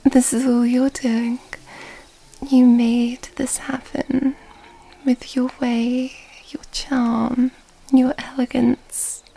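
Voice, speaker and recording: A woman acting as an elegant, predatory vampire lady in an ASMR roleplay. Her breathy, whispered voice sits high but stays soft and dark, unfolding very slowly with teasing, mysterious formality. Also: flat delivery, heavily acted, poor audio. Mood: intense, enamoured. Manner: slow, teasing, formal old-fashioned wording.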